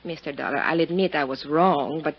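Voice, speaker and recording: An actress playing a proud, volatile Italian countess in 1950s radio drama, haughty and formal in manner. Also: female voice, sits low, fairly quick, slightly rough. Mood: guarded, haughty. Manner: haughty, formal, guarded, flaring into outbursts. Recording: dull 1950s radio broadcast recording.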